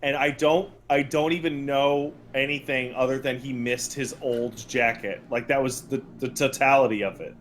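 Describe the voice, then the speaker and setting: A man's voice: medium pitch, steady tone, normal speed, hardly any pauses, a little hiss or hum. A man co-hosting a casual gaming podcast, telling things in a blunt, profane, emphatic way. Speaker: podcast co-host. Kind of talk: casual podcast chat about video games.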